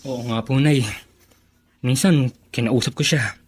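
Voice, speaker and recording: A man playing a respectful, understanding young grandson in a radio drama, speaking politely and gently. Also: mid-range voice, fairly even intonation, a little slow, breathy edge. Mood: concerned. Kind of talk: Filipino radio drama acting.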